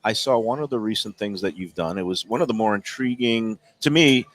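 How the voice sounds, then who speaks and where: A man's voice: lowish voice, brisk, fairly bright tone. A man hosting a casual food chat at a racetrack restaurant, talking in a rambling way with lots of fillers. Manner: talkative, digressive, lots of fillers. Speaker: host.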